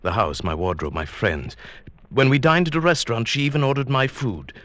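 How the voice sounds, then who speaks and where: An actor playing a henpecked, mild older professor in an old-time radio drama, with a hesitant, formal, polite manner. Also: male voice, medium pitch, expressive, brisk, a bit bright, dry recording, lightly acted. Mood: musing, dry.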